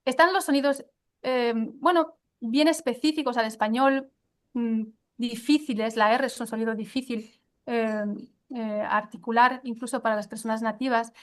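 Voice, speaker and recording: A woman's voice: on the high side, fairly even intonation, moderate pace. A woman speaking as a guest pronunciation teacher, explaining with many fillers and restarts. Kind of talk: conversational interview answers.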